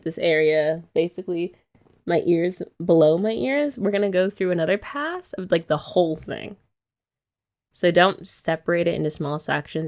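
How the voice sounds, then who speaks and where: A young woman hosting an ASMR girlfriend roleplay, chatty and giggly as she rambles. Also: fairly low pitch. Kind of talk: soft-spoken ASMR roleplay chat.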